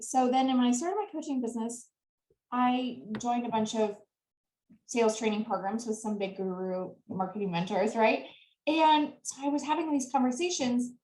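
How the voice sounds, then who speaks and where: Woman, sales coach, higher voice, earnest, echoey room. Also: a little fast, warm tone. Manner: earnest, laughs easily. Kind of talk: interview answers.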